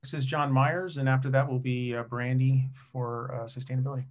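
A man's voice: mid-range voice, little pitch movement, fairly quick. A man speaking as a town official, making procedural remarks in an online meeting.

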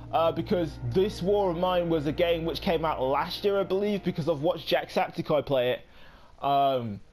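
A young man in his late teens giving casual let's play commentary, rambling with many pauses and quick apologies. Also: fairly high pitch, darkish tone. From United Kingdom. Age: young adult. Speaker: gaming YouTuber.

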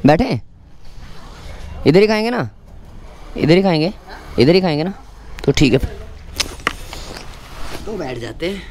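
A man's voice: a little high, sing-song, unhurried, long pauses, noisy background. A man chatting casually to camera as a travel vlogger, often repeating his phrases. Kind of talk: casual vlog chat to camera.

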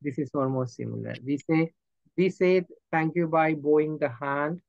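A man's voice: on the high side, moderate pace, vocal fry, a little mellow, no echo. A man hosting a video call session, speaking formally and offering short encouraging replies. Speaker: host. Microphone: video call webcam. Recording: dull dry webcam audio.

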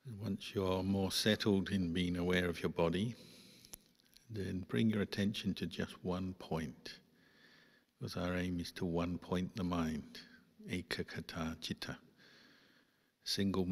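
A man, a Buddhist monk, guiding a meditation in calm, measured phrases with pauses. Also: low-pitched. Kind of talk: guided meditation instruction. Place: large reverberant hall. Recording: long hall reverb.

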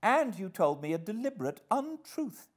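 This is a genteel young gentleman in a storybook romance, played by a male reader. The voice sits higher than a usual man's talk and moves expressively. It is refined and injured, with a pleading edge. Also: very animated, normal speed. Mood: hurt, resolute. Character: genteel, soft, wounded young gentleman suitor. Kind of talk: audiobook character acting.

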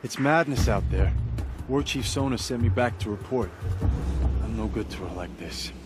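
A man's voice: mid-range voice, moderate pace, over loud music, natural voice. A man playing a wounded earnest warrior. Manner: earnest, urgent, solemn.

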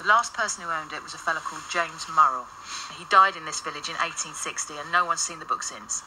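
Woman, acting, dry cutting investigator, slightly low pitch, radio play, clear background noise. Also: fast, close to an everyday voice. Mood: brusque, assured. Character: sardonic, brusque female investigator of the paranormal. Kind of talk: naturalistic radio drama acting.

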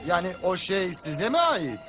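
An easygoing, confident hero dad, speaks with animated intonation. His cartoon voice is a bit high for a male, over loud music. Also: a little slow, in a large hall. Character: easygoing, confident adventurer father, adult man. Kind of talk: dubbed cartoon acting.

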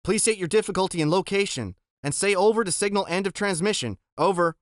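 A man voicing a calm male radio operator who speaks in clipped radio procedure. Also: high-pitched, fast talker, no room sound, clean studio sound, pitched above the performer's own voice, natural voice. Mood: businesslike.

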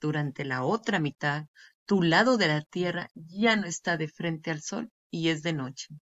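A woman reading a children's nonfiction book aloud with deliberate, clear phrasing for young learners. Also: deep voice, fairly bright tone. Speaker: read-aloud narrator. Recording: dull, lo-fi sound.

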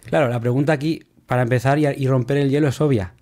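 A man's voice: mid-range voice, fairly even intonation, fast talker, darkish tone. A man in his thirties hosts a video-call interview from a desk mic. He asks long, meandering questions in a conversational way, with a mid-range voice. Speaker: podcast host.

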